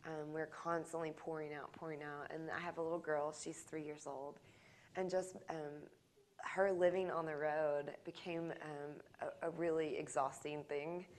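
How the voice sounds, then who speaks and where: Earnest low-voiced woman reflecting. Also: little pitch movement, normal speed. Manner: earnest, reflective, many fillers. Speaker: interview guest, musician. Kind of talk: recorded interview reflection.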